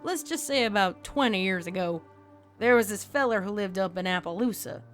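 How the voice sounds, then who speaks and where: A woman's voice: medium pitch, expressive, fairly quick, soft background music, close to an everyday voice. A woman voicing a folksy, plainspoken female country pony with a drawl in a fan fiction reading. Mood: folksy storytelling.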